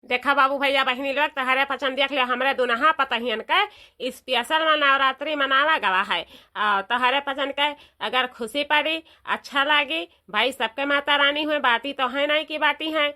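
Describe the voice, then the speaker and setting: A woman's voice: high voice, moderate pace, pushed and bright. A woman voicing a village elder in a cartoon, instructing people familiarly. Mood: welcoming. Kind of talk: cartoon comedy dialogue.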